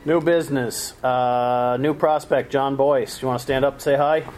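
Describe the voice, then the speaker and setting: A man chairing a club business meeting, brisk and plain, moving the agenda along. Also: mid-range voice, steady tone, darkish tone, rough recording. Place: meeting room.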